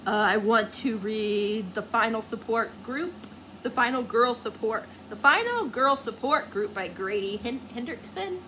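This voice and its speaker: A woman's voice: a little high, lively intonation, a little slow, background noise. A woman book vlogger chatting casually to viewers, gushing and rambling as she searches for words.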